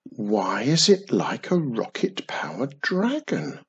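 A storyteller voicing Wizardy Witch, a cheerful young witch who is proud of how fast she is, in a high, quick, eager voice. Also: sing-song, husky, dull audio, full character voice. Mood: hushed. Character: cheerful young witch, boastful about her speed. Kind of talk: storybook reading.

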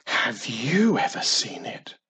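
A storyteller voicing Wizardy Witch, a cheerful young witch who is proud of how fast she is, in a high, quick, eager voice. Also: sing-song, sharp tone, slightly rough, exaggerated voice. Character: cheerful young witch, boastful about her speed. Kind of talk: storybook reading.

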